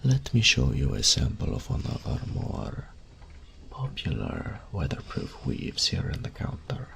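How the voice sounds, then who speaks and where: Through a noticeable layer of noise comes an elderly master tailor, with an extremely deep, heavily creaking voice. He is courteous and proud of his craft, speaking slowly and formally as a wistful shopkeeper in a fantasy audio roleplay. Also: huge pitch swings, a bit bright, exaggerated voice. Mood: courteous, eager. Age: older. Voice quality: gravelly, breathy old voice. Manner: formal, deferential, unhurried, savouring words.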